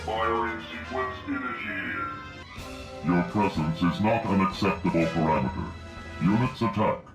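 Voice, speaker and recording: An actor voicing a hostile combat robot in a video game, stiff, clipped and commanding. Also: male voice, lowish voice, lively intonation, measured pace. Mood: hostile, mechanical. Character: cold hostile combat robot, rigid and merciless. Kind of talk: video game cutscene acting. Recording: echoing voice under loud music.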